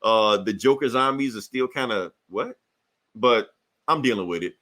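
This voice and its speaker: Male podcast host, bright forceful voice, loose slangy comic-review chat with plenty of swearing. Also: lowish voice, normal speed. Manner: profane, slangy, animated retelling.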